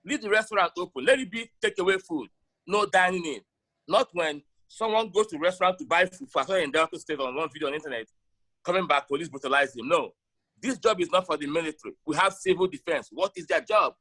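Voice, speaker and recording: A man's voice: a little high, lively intonation, fast, pushed and bright, low-quality recording. A man with a Nigerian Igbo accent, giving his opinions in an interview in an emphatic way, with short rhetorical questions. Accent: Nigerian Igbo-language accent. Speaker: interviewee, sports broadcaster.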